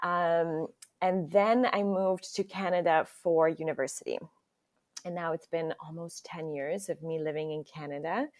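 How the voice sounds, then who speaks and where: A woman's voice: deep voice, steady tone, a little slow. A young woman from Nigeria, a podcast guest, chatting openly and easily about herself. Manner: open, chatty, laughs easily. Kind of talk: casual interview chat.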